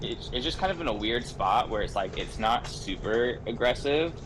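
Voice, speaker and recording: A man in a casual card game chat, speaking in a measured, analytical way. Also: medium pitch, lively intonation, very noisy.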